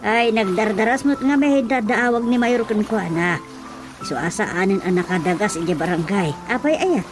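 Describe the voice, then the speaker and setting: An actress playing a feisty, excitable village woman in a comic radio drama. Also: mid-range voice, moderate pace, natural voice. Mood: brisk, questioning. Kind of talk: radio drama acting, Ilocano comedy drama. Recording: music behind the voice.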